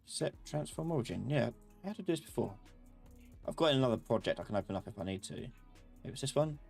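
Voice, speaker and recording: A man hosting a casual live software tutorial, thinking aloud and muttering or humming to himself as he works. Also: medium pitch, animated, fast talker, gravelly, soft background music. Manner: informal, muttering and humming while working. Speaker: host, software instructor.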